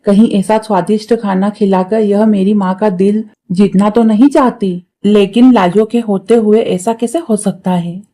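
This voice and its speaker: Woman as spiteful young cartoon crow, mellow mid-range voice, sly, mocking, scheming sister-in-law. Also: mid-range voice, steady tone, normal speed, hardly any pauses, lightly acted. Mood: envious, scheming. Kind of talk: Hindi cartoon story acting.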